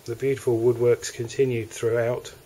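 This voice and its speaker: A man with an English accent walks through the cabins of a moored motor yacht, narrating a sales tour in a relaxed, hesitant way. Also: mid-range voice, normal speed, low-quality recording. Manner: relaxed, hesitant, with fillers and restarts. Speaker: yacht broker. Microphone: handheld camera mic.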